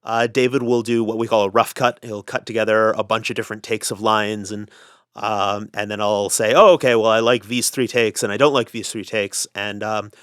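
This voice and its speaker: Clear-voiced man, halting explainer. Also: lowish voice, level tone, fairly bright tone, dry recording, clean studio sound. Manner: halting, pausing often mid-sentence. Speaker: audio drama producer and editor being interviewed. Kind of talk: casual explanatory interview talk.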